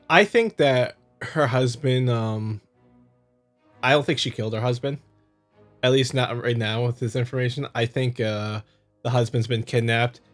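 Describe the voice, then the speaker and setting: A man hosting a visual novel playthrough, reading narration and commenting casually in a halting, stumbling way. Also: mid-range voice. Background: music.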